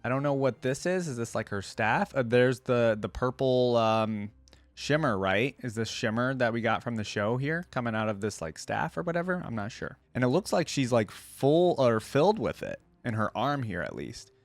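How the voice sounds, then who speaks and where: A man's voice: medium pitch, lively intonation, normal speed, no room sound. Casual male reaction host, close dry sound. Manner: thinks aloud with frequent tag questions. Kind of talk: casual reaction commentary mixed with reading aloud.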